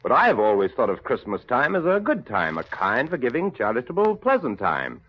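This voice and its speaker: A warmhearted young nephew in a vintage radio play. His voice swoops with great animation and has a husky edge, earnest and hearty, on a dull old broadcast recording. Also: mid-range voice, wildly swooping pitch, a little fast. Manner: hearty, earnest, good-natured. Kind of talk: 1930s radio drama acting.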